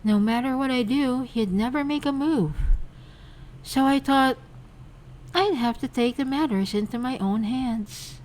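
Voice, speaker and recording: A woman voicing a composed, affectionate young woman who teases gently. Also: high voice, measured pace, a little hiss or hum, low-quality recording. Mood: teasing.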